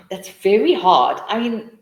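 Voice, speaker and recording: A woman's voice: mid-range voice, very animated, very slow. Encouraging South African woman, roomy echo. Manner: encouraging, chatty, full of restarts. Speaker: webinar tutor answering questions. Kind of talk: live webinar Q&A.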